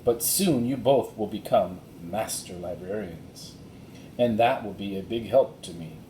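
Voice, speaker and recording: A man voicing a kindly, mysterious enchantress librarian in a storybook, in a calm, measured manner. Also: fairly low pitch, echoey room, a little hiss or hum, lightly acted, rough recording. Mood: confiding.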